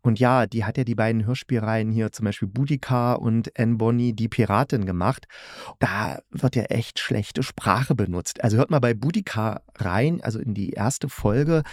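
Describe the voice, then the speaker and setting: A man's voice: mid-range voice, a little mellow, no room sound, clean studio sound. A man hosting a podcast, giving a casual, colloquial and opinionated spoken review. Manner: colloquial, opinionated, with frequent tag questions.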